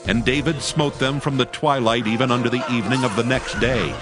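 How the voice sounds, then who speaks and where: A man narrating scripture as a scripted audio drama, with solemn, measured storytelling. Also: mid-range voice, fairly even intonation. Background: music bed.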